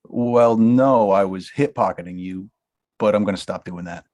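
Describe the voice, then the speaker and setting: A man's voice: fairly low pitch, moderate pace, darkish tone, lightly acted. A man reading the part of a blunt, money-minded talent manager in a comedy table read. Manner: brusque, dismissive, laughs through lines.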